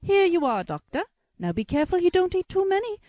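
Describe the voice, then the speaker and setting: A woman plays a motherly, deferential housekeeper in a Victorian audio drama. Her voice is high and very lively in pitch, with a fussy singsong delivery. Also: fairly quick, dry recording, muffled recording. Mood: eager, fussing.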